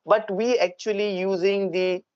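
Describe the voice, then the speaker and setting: A man's voice: high-pitched, steady tone, measured pace, clear, resonant tone, no echo. A man from Gujarat with an Indian English accent, his first language Gujarati, chatting earnestly and repeating phrases for emphasis. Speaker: host, English learner. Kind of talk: casual conversation. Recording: dull lo-fi, dry audio.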